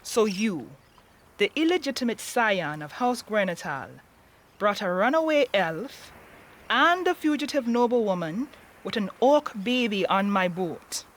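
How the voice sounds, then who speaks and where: Slow-spoken sea captain played by a woman, wry, profane and commanding, fantasy audio drama. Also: mid-range voice, lively intonation, natural voice. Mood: incredulous, angry. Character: tough, foul-mouthed woman boat captain of the seas.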